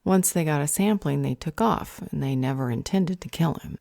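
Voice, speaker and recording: A woman's voice: low-pitched, moderate pace, creaky, mellow, no echo. A woman playing a level-headed, wry ally in a fantasy roleplay audio drama, dry and composed. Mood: thoughtful.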